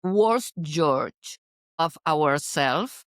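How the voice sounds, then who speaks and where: Slow-speaking woman. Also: deep voice, lively intonation, no echo, studio quality. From Chile. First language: Spanish. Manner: careful, halting English with pauses and restarts. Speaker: guest, business consultant. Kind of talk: casual interview chat.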